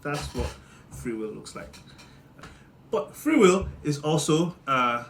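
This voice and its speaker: A man's voice: fairly high pitch, big pitch swings, takes it slowly. Playful Nigerian-accented man. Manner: playful, teasing, jumps between loud and muttered. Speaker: monologue host. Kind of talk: comedic monologue.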